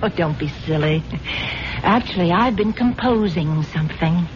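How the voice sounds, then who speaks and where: A woman's voice: lowish voice, expressive, moderate pace, soft background music, close to an everyday voice. A woman playing an earnest, gullible, well-to-do wife in a 1970s radio drama, with a gushing, genteel manner. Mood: coy, affectionate. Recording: dull, noisy 1970s radio recording.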